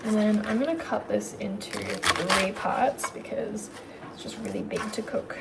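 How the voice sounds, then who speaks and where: A young woman talking casually through her cooking with a slightly hoarse, croaky voice, full of "like" fillers. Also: medium pitch, huge pitch swings, measured pace, heavy background noise. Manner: chatty, lots of "like" fillers. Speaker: vlogger.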